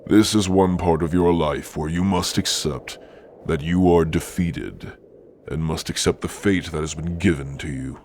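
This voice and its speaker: A man voicing a hulking, stern orc warrior in an ASMR roleplay, speaking in archaic threats with dark amusement. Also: extremely low pitch, slight background noise, heavily acted. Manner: slow, archaic, threatening phrasing.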